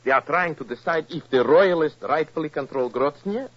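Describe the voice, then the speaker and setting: Political emissary, grand and insistent, high-ish animated voice, muffled old radio drama sound. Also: male voice, moderate pace. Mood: earnest, urgent. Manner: formal, grandiloquent, stilted phrasing. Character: florid, emotional, insistent male foreign political emissary. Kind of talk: 1940s radio acting. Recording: muffled, band-limited old radio recording.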